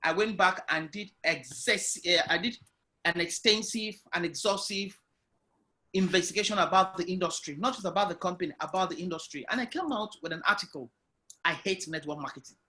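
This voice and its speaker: A man telling his business story on a video call interview, with a loud, animated way of speaking. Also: high-pitched, a little fast. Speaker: guest entrepreneur. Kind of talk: online interview, personal story.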